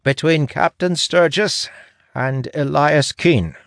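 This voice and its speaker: A man's voice: on the high side, animated, measured pace, dry recording, studio quality, voice pushed up. A man voicing a formal scribe who proclaims a vote to a gathering in a declamatory, projected voice, in a fantasy audiobook. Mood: formal, proclaiming.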